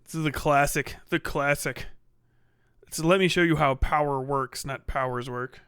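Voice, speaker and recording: Clear, higher-voiced man doing blunt livestream commentary. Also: normal speed, a bit bright, dry recording, studio quality. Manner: blunt, heavy swearing. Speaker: livestream host. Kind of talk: casual livestream reaction commentary.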